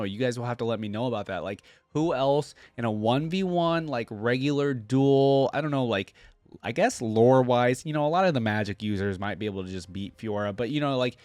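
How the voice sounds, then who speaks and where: A male reaction host talks off the cuff about game lore. His voice sits at an ordinary male pitch, and he rambles conversationally, often tagging on a questioning "right?". The sound is dry and close. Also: no echo. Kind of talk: casual unscripted game lore commentary.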